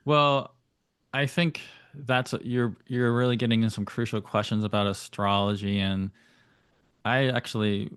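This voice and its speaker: A man speaking as an astrologer guest on a podcast, giving thoughtful, flowing explanations with occasional rapid bursts. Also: mid-range voice, fairly even intonation, fairly bright tone, smooth, dry recording, clean studio sound. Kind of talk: explanatory interview talk.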